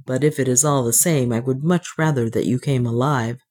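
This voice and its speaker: A woman reader voices a captive gentleman adventurer in a storybook, wry and commanding. He speaks low, in terse, urgent, old-fashioned instructions. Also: brisk. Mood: wry, impatient.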